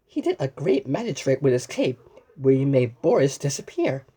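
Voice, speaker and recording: A woman's voice: very deep voice, big pitch swings, dark tone, slightly rough. A woman reading a children's storybook aloud, exclaiming, asking the listener questions and often stumbling over and repeating words. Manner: exclamatory, asks the listener questions and pauses as if answered. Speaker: storybook reader. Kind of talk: animated children's read-aloud with asides to the viewer.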